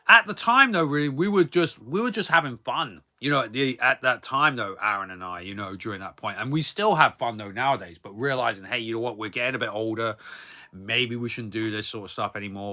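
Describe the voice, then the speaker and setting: A man in his thirties with a very bright, forceful voice at an average male pitch. He talks like a vlogger doing a casual story time, rambling along in a hesitant, repetitive way and often tacking filler tags onto the ends of his phrases.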